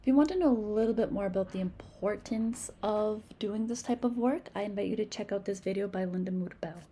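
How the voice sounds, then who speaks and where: A woman's voice: medium pitch, brisk, warm tone, slight background noise. A woman from Massachusetts with a New England accent, a native Spanish speaker, patiently teaching phonics and modeling speech sounds in an instructional video. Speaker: reading teacher.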